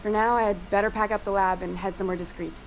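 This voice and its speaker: A woman playing an earnest, guarded research scientist in a video game, speaking in a formal, measured and articulate way. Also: mid-range voice, fairly even intonation, slight background noise, close to an everyday voice, low-quality recording. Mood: exasperated. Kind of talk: video game dialogue acting.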